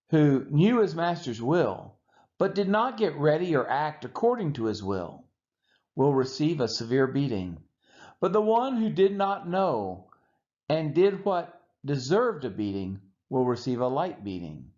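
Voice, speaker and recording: A man with a slightly high voice reads scripture aloud for a church read-along. His intonation swings widely, with emphatic, dramatic stress and measured phrasing. The recording sounds dull and lo-fi. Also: a little mellow. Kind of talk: expressive scripture reading aloud. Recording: dull, muffled lo-fi audio.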